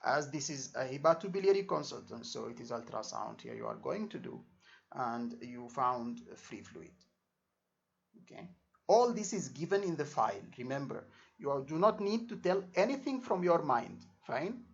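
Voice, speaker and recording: A man's voice: medium pitch, very animated, normal speed, gravelly. A man giving an informal exam lesson as a tutor, repeating himself and checking understanding with short tags. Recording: dull lo-fi microphone sound.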